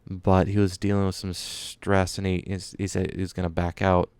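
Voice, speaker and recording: Smooth, fairly deep-voiced man, dry sound. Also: flat delivery, moderate pace, darkish tone, no room sound. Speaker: podcast co-host. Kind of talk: casual chat and commentary.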